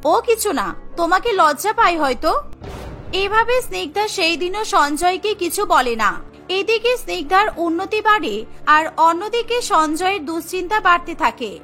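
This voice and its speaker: Very high, bright female story narrator. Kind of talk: scripted cartoon story narration.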